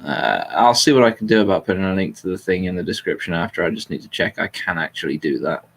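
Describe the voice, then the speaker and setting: Animated man hosting a jokey chat. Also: low voice, fast talker, gravelly. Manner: jokey, wry. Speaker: host. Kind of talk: casual humorous podcast chat.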